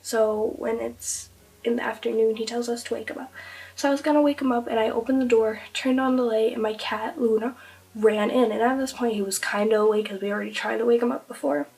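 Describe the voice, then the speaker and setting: A young woman vlogger, hoarse and losing her voice from being sick, chattily retelling a spooky family story with many fillers. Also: on the high side, fairly even intonation, fairly quick, darkish tone, distant mic, low-quality recording. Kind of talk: casual storytelling to camera.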